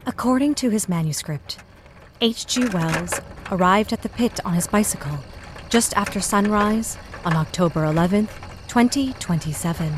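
An actor playing a grave, reflective woman storyteller, giving measured literary narration in an audio drama. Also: medium pitch, expressive, noisy background, natural voice. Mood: solemn, reflective.

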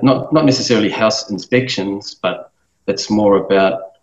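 Roomy, muffled low-voiced man in his thirties. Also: normal speed. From New Zealand. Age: 30s. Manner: thoughtful, with many fillers and restarts. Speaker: guest, business owner. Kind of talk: casual interview talk. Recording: roomy, dull-sounding room mic.

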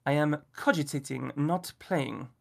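A man's voice: fairly high pitch, a little fast, fairly bright tone, husky. A man voicing a fussy, aloof male detective who puts on a mock French accent and speaks in precise, formal, haughty phrases. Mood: haughty, dismissive.

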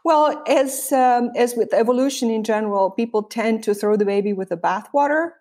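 A woman's voice: a little high, darkish tone. Hesitant Romanian-born woman, reflective guest. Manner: halting, many fillers and restarts, reflective. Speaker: interview guest. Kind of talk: conversational podcast interview.

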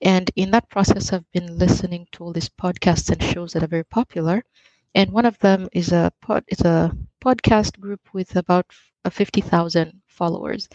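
A woman's voice: lowish voice, steady tone, normal speed, a little mellow, no echo. A woman from Uganda calling in to a live online show, explaining her own project. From Uganda, East Africa. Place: online video call. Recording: dull, lo-fi online call.

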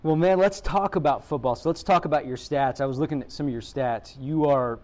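A man's voice: on the high side, brisk, darkish tone. A man hosting a school podcast, chatting casually and calling his guest "man". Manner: friendly, says "man" often. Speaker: podcast host. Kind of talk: casual interview chat.

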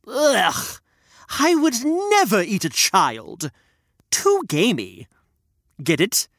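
A playful, punning sidekick, voiced by the storyteller, tossing in asides with lively swings of pitch. It is recorded close and dry, with no room sound. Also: very slow, no room sound, heavily acted. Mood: joking. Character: jokey sidekick named Reg, quick with puns.

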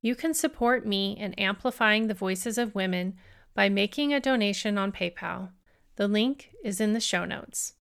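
A woman hosting a travel podcast, soft-spoken and earnest, reading an intro and then asking questions with a few ums. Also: medium pitch, moderate pace, vocal fry, clean tone. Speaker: podcast host. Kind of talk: podcast interview.

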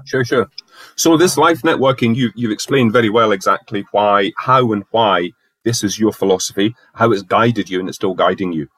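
A man hosting a podcast interview, asking questions in a brisk, affirming way. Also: on the low side, a bit bright, slightly rough, no room sound, low-quality recording. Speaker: podcast host.

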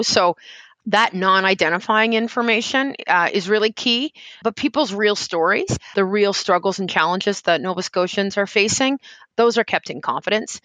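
A woman speaks for a community helpline, laying out how the service works in an earnest, explaining way. She leans on "you know" as she talks, at an ordinary pitch. The sound is dry and close. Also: fairly bright tone, no room sound. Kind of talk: interview answers.

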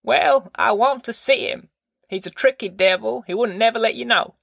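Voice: mid-range voice, moderate pace, creaky, a bit bright, no echo, studio quality, voice pushed up. A performer voicing a sulky, bossy elderly grandmother from a novel, with querulous, folksy speech. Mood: sulky, demanding. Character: sulky, fierce, bossy old country grandmother.

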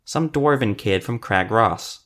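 A man's voice: lowish voice, animated, measured pace, fairly bright tone. A man voicing a nervous, evasive gnome hired killer in a fantasy audiobook, with a folksy way of talking.

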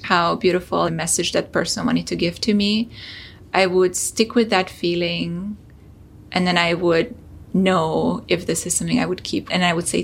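A woman's voice: lowish voice, creaky, a little hiss or hum. A woman from Hungary, a startup founder, telling an earnest personal story as a podcast guest.

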